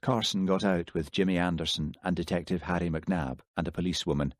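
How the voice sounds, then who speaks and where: A man narrating an audiobook in a steady, measured storytelling manner. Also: low voice, no room sound, studio quality. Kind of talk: scripted narration.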